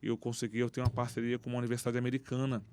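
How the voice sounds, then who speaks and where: A man who coaches youth football, speaking as a podcast guest and telling stories in animated street slang. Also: mid-range voice, racing, a little hiss or hum. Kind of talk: casual interview talk. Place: podcast studio.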